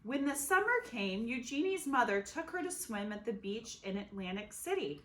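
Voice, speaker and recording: A woman reading a children's picture book aloud expressively, with dramatic pauses and emphasis. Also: a little high, very animated, fairly bright tone. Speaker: storybook reader.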